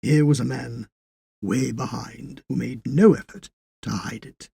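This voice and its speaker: A man reading a literary story as narrator, in a scripted, measured storytelling style. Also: mid-range voice, creaky, dark tone, husky, no echo.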